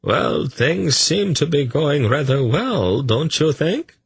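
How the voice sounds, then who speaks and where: A smug, oily male schemer, acted out by a man narrating a fantasy audiobook, with a lifted, swooping pitch. He draws his words out in an airy, self-satisfied way, and the voice has a husky grain. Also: wildly swooping pitch, fairly bright tone, no room sound, full character voice. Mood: smug, assured. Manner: sighing, drawn-out, self-satisfied.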